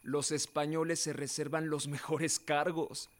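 Male actor, young idealistic cousin, somewhat high pitch, earnest, articulate, colonial radio drama. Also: little pitch movement, a little slow, close to an everyday voice. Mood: earnest, indignant. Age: young adult.

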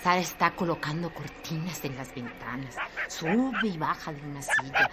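A woman's voice: low voice, expressive, moderate pace. A woman narrating a radio drama from a script in short descriptive phrases. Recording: strong noise behind the voice.

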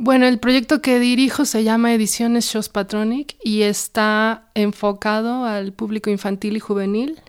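A woman's voice: on the high side, steady tone, normal speed, few pauses. A middle-aged woman with a Mexican accent talks reflectively and conversationally about herself, as in an interview. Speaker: independent publisher and illustrator. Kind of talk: personal interview narration.

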